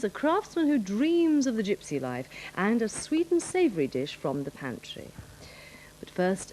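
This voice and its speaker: Animated British woman presenting, low-pitched. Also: poor audio. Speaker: regional news magazine presenter. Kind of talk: scripted television presenting, programme headlines. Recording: 1980s broadcast with music behind.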